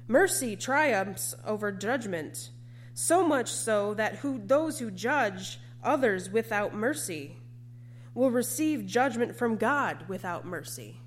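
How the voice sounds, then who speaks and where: A woman preacher delivering a sermon in a measured, emphatic way, with deliberate repetition. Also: on the high side, expressive, slight background noise.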